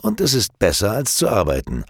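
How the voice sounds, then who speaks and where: A cocky young male model, breezy and a little condescending, his voice lifted higher and louder than the storyteller's own, as a male narrator puts him on in an audiobook. The tone stays husky and gravelly on a dry, close recording. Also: wildly swooping pitch, brisk, no echo, full character voice. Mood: smug. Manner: casual, slightly condescending. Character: smug, self-assured young male model.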